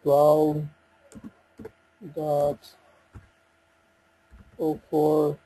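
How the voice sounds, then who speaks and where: A man giving an unscripted software walkthrough, talking through each step in a halting way as he thinks aloud. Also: a little high, completely flat delivery, slight background noise, rough recording. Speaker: software demo presenter.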